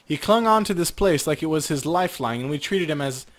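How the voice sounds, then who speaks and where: A man's voice: fairly high pitch, lively intonation, brisk, lightly acted. A man voicing a proud, teasing woman who runs a bar in a video game, dropping in Japanese phrases. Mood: amused, knowing. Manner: teasing, self-possessed, peppered with Japanese phrases.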